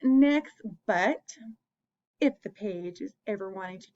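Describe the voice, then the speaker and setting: A woman's voice: mid-range voice, lively intonation, unhurried, warm tone, muffled recording. A woman hosting an interactive picture-book read-aloud for young children, asking them questions and encouraging them as she goes.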